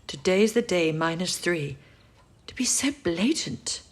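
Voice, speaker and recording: An actress playing a kind, practical wartime codebreaker in an audio drama, supportive and gently wry. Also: female voice, lowish voice, lively intonation, a little slow. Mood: kind, reassuring.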